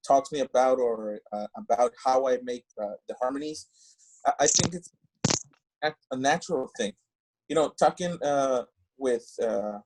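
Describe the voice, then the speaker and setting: A man, a band singer guesting on a podcast, talking casually and haltingly as he searches for English words. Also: mid-range voice, darkish tone, a little hiss or hum, low-quality recording. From Mexico. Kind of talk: casual interview chat.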